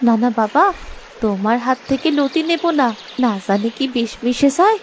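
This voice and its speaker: A woman's voice: fairly high pitch, animated, moderate pace, slight background noise, close to an everyday voice. A woman voicing a nosy, suspicious village neighbour in a cartoon, chatty and exclaiming. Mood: wary. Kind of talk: cartoon moral story voice acting.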